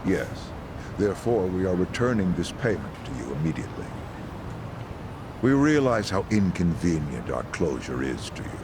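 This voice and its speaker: An actor in an English game dub playing a courteous, composed official who speaks formally and reassuringly. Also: male voice, low voice, big pitch swings. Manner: measured, formal. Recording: noisy outdoor urban ambience.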